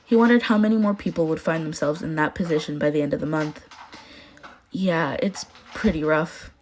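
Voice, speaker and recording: Low-pitched woman narrating a story. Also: fast talker, darkish tone, a little hiss or hum. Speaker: podfic narrator.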